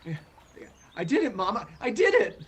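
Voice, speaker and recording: A man's voice: high-pitched, wildly swooping pitch, fairly quick. A man playing an anxious, skeptical young son in a radio play, stammering and full of questions. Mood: frantic. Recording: noisy recording with rain-like hiss.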